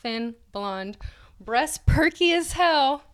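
A woman podcast co-host giving a dramatic reading of an article, breaking into laughs and quick asides. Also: high voice, animated, a bit bright.

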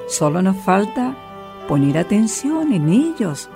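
A woman with a Chilean accent narrating a scripted radio story in an expressive, deliberate way. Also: medium pitch, gravelly. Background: music.

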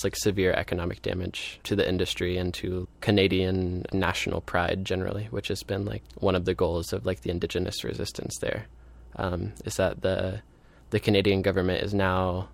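A man's voice: sits low, vocal fry. A man speaking informally as an activist in an interview, thinking aloud and peppering his sentences with "like". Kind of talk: casual spoken interview.

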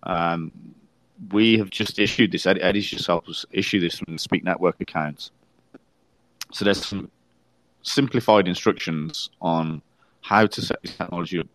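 A man with a low voice co-hosts a casual podcast. He explains at length, stopping and restarting often. The sound is dry and close. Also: no room sound, rough recording. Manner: explains plans at length, many fillers and restarts. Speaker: podcast co-host.

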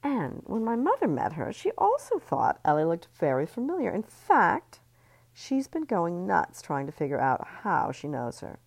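A woman's voice: lowish voice, huge pitch swings, moderate pace, creaky, voice pushed up, exaggerated voice. A woman reading an audiobook, voicing a smug rich young mother who gloats with theatrical flair. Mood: gloating reveal.

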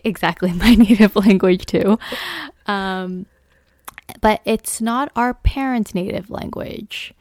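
A young woman co-hosting a casual chat podcast, relaxed and agreeable, laughing easily. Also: medium pitch, slow, dry recording, studio quality. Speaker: podcast co-host.

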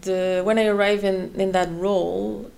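French canadian woman talking as an interview guest, lots of uhs and repeats, flat gravelly voice. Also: medium pitch, a little slow. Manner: many fillers and repeated words. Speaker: head of HR, guest. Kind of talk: interview chat.

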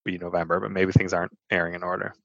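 Podcast co-host, man, low-ish voice; deadpan wisecracks, fixes facts; dull, dry lo-fi recording. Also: rapid-fire, creaky, no echo. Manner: dry, wisecracking, corrects details. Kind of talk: casual chat recapping a sitcom.

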